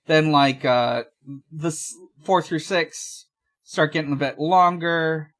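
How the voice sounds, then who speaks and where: A podcast host talking casually in a halting, hesitant way, often pausing and trailing off. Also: medium pitch, fairly bright tone. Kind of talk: casual podcast discussion and recap.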